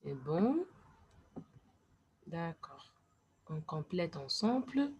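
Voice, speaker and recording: Lady teacher talking super slow, careful, explaining to kids, drops into english sometimes. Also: lowish voice, big pitch swings, darkish tone, highs cut off, slight background noise. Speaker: French teacher. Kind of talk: classroom lesson.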